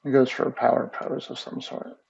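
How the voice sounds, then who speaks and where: Brief: gravelly-voiced man, mid-pitched, relaxed animation tutor narrating his screen, slightly dull lo-fi mic. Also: a little fast, in a whisper. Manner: relaxed, thinking aloud while working. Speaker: animation instructor. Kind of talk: screen-recorded software tutorial.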